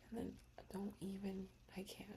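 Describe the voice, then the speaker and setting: A young woman speaking in a soft, slow whisper, reflecting emotionally in a personal ASMR-style video. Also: mid-range voice, completely flat delivery, a little hiss or hum. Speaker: ASMR creator.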